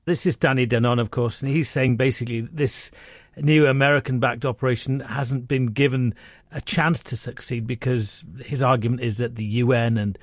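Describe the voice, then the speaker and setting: A man's voice: mid-range voice, steady tone, normal speed, no room sound, studio quality. Male correspondent, hesitant analysis. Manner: thoughtful, with frequent hesitations. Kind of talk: unscripted news analysis.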